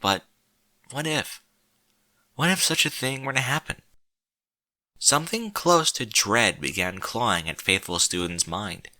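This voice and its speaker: A man narrating a story in a slow, solemn, dramatic way with deliberate pauses. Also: medium pitch, pushed and bright, no echo.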